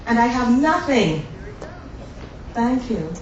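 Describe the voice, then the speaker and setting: A woman's voice: a little high, sing-song, takes it slowly, highs cut off, a little hiss or hum. Chatty woman in an echoey hall. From born in Guyana, raised in Barbados. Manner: chatty, jokey, talks to the audience. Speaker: cooking demonstration host. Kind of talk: live cooking demo to an audience. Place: demo kitchen on a cruise ship. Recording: very echoey room, distant mic, faint ambience.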